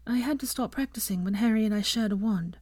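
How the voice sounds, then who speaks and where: A woman narrator puts on a modest teenage witch from a storybook. The voice is lifted higher and kept soft and tentative, in a dry recording. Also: fast talker, no echo, lightly acted. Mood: shaken, subdued. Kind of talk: audiobook character voice.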